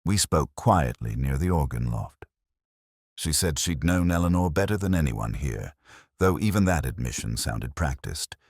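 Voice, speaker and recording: Male narrator for literary detective fiction: very deep, husky, brooding and measured, recorded dry and close. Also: expressive, darkish tone, no echo. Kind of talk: scripted first-person detective story narration.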